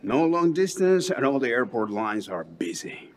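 A man's voice: medium pitch, big pitch swings, normal speed, close to an everyday voice. Man acting unruffled local guide. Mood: wry, explaining. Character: unruffled Mexican man guiding a traveller.

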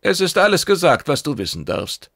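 A man reads as an impatient alien commander from a science fiction story. His delivery is formal and emphatic, pitched up and creaky, in a close, dry recording. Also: wildly swooping pitch, moderate pace, a bit bright, no room sound, heavily acted. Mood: curt, impatient. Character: Karadok, stern impatient male alien commander.

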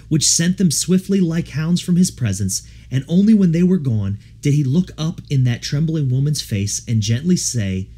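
A man reading a devotional audiobook in an earnest, measured, formal way. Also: fairly high pitch, warm tone, breathy edge. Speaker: audiobook reader. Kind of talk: scripted audiobook narration of a devotional text.

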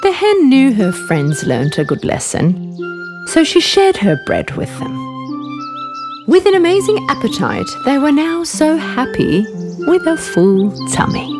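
A woman's voice: high-pitched, huge pitch swings, measured pace. A woman narrating a scripted children's bedtime story as the storyteller. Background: background music.

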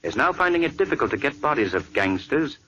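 An actor playing a calm, confident gentleman crime-fighter with a smooth, assured delivery, in 1940s radio acting. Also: a little high, quick pace, poor audio. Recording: old radio recording with faint hiss.

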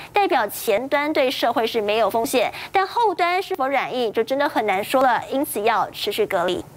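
High-voiced Taiwanese woman anchoring the news. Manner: speaking through a face mask. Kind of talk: scripted news presenting, conversational asides. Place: TV news studio.

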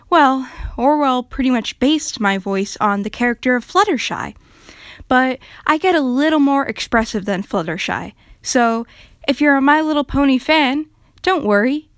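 A woman voicing a gentle, timid character who comforts animals, speaking softly and calmly in a breathy near whisper. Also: fairly high pitch, no room sound, studio quality, close to an everyday voice. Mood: shy, friendly. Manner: calm, gentle, hesitant, comforting.

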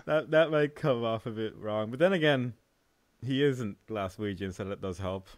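A man's voice: mid-range voice, a little slow, no room sound. A man, an amateur voice actor, talking casually between takes.

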